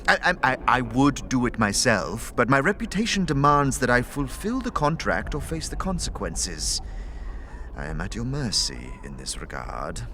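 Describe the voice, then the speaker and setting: Casting: male actor as a bright-voiced, nervous mage for hire, secretive and courteous, wildly animated pitch, audio drama. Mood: anxious, pleading. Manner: hesitant, halting, effusive. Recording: faint background noise.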